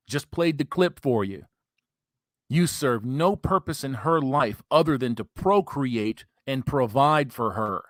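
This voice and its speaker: A man's voice: mid-range voice, no echo. A man hosting commentary, ranting in a profane, emphatic, preachy way. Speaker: commentary host.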